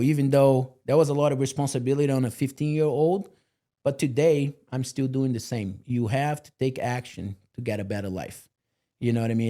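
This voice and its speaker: Male guest, mellow and earnest, motivational, filler-heavy. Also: mid-range voice. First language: Portuguese. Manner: earnest, motivational, lots of "you know" fillers. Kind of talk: candid podcast interview.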